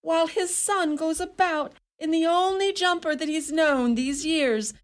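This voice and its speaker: An actress playing a scolding, sharp-tongued Irish mother in a radio play, theatrical and scornful. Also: very high-pitched, unhurried. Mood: bitter, aggrieved. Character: sharp-tongued, indignant Irish mother, middle-aged or older.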